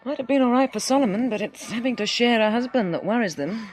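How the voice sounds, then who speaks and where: A woman's voice: on the high side, lively intonation, fast talker, soft background music, natural voice. An actress playing a practical, tender but resolute young woman in a radio drama. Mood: playful, frank.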